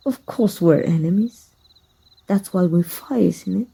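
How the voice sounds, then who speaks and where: Woman playing a slow, husky, seductive villainess. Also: lowish voice, warm tone, heavily acted. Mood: seductive, smug. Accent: Mexican. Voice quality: husky, breathy. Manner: slow, breathy, teasing murmur. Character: jealous, seductive female villain, possessive and teasing. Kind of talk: intimate ASMR roleplay acting.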